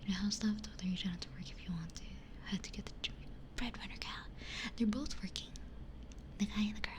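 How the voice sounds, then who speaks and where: A woman speaking in a soft, breathy whisper, chatting casually and reflectively as an ASMR streamer, close to a binaural microphone. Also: mid-range voice, fast talker. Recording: faint hiss and ambient noise.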